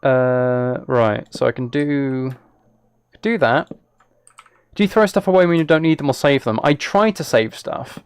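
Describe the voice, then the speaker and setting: Gravelly man thinking aloud on a stream. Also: mid-range voice, measured pace, dry recording. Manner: thinks aloud, chatting with viewers. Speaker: live streamer and host. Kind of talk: casual live stream chat while playing a game.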